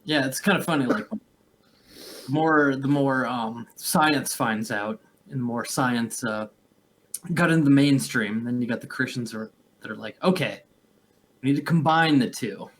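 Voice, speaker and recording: A man's voice: mid-range voice, measured pace, slight background noise, poor audio. A man hosting a podcast who talks in a jokey, rambling way, laughing often. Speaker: podcast host. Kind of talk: casual podcast chat.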